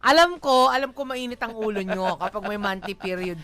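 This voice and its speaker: A woman's voice: medium pitch, a little fast, a bit bright. A woman with a Filipino accent hosting on radio, chatty and opinionated, giving conversational commentary full of slang. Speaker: radio host.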